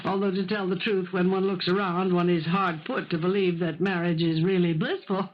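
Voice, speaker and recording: A woman's voice: lowish voice, fairly even intonation, moderate pace, natural voice. Woman playing a self-assured housekeeper, dull vintage sound. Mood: chatty, ingratiating. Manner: chatty, self-assured, formally polite. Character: forthright, persistent middle-aged unmarried housekeeper seeking a post. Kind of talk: 1970s radio drama acting. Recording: dull old radio broadcast recording.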